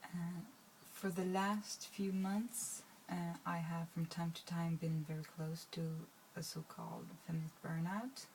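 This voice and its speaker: A woman's voice: on the low side, fairly even intonation, a little slow, darkish tone, slight background noise, rough recording. A woman, talking to her viewers in a rambling, hesitant way with many fillers and restarts. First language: Swedish. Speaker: vlogger. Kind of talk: casual talk to camera.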